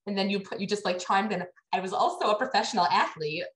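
A woman hosting a podcast, chatty and excitable with her guest. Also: mid-range voice, fast, low-quality recording. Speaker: podcast host. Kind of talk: casual interview chat.

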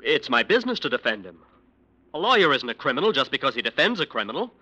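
An actor playing a defensive, uneasy lawyer, hesitant and self-justifying, in 1940s radio drama style. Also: male voice, mid-range voice, very animated, quick pace, sharp tone, husky, highs cut off, exaggerated voice. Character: defensive, uneasy male lawyer working for a gangster. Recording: noisy vintage radio recording.

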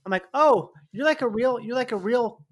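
A man, an actor, chatting in a relaxed way and musing through his questions. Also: very high voice, normal speed. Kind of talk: casual chat.

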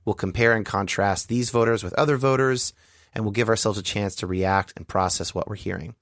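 A man's voice: lowish voice, fairly even intonation, a bit bright, dry recording, studio quality. A man hosting a podcast, talking earnestly and carefully as he introduces the episode and interviews a guest. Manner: earnest, measured, explanatory. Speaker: podcast host.

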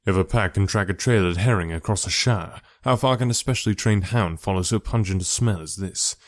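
Man acting a keen male detective, fairly low voice, quiet, dry-humoured, precise, instructive, storybook reading, very little room sound. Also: fairly quick, no room sound, lightly acted. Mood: brisk, keen.

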